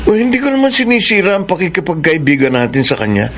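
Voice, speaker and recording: Radio drama role: money-minded Filipino father, firm, dismissive, lively intonation, slight background noise. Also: high-pitched, fast talker. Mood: stubborn, dismissive. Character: stern, money-minded married doctor and father.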